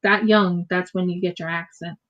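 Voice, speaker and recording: A woman's voice: fairly low pitch, fairly even intonation, moderate pace, a bit bright, lo-fi sound. An American woman chatting casually and rambling as she crafts on a livestream, often repeating her words. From United States. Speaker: craft streamer.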